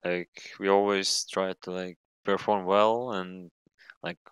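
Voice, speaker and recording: Young guy, pro gamer, deep but bright voice, close dry sound, rambles a bit. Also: level tone, slow pace, clean tone, no echo. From Latvia. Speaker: professional esports player. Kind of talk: casual interview chat.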